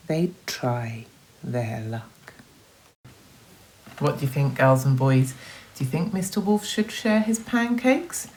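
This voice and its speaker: Female teacher-storyteller for young children. Low register, highly animated, dramatic emphasis, questioning asides. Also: slight background noise, low-quality recording.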